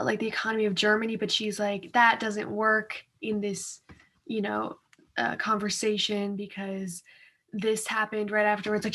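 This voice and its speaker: A woman's voice: medium pitch, a bit bright. A young woman co-hosting a discussion in a casual, colloquial and loosely phrased way. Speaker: co-host of a reading discussion. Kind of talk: casual chat.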